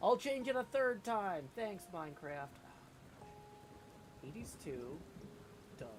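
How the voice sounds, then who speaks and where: A man hosting a live game stream in a casual, rambling way, with a creaky voice. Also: sits high, big pitch swings, slow pace, a little hiss or hum. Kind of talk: casual livestream chat.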